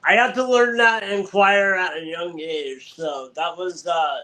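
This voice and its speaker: Slow, bright-voiced young man host. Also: high voice, steady tone, poor audio. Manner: friendly, hesitant with many fillers. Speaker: podcast host. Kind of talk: casual podcast interview.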